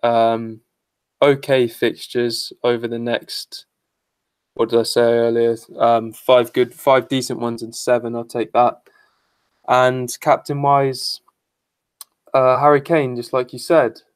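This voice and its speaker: A man's voice: medium pitch, little pitch movement, slow, smooth, no echo. A man co-hosting a fantasy football podcast in casual chat, speaking bluntly and swearing freely.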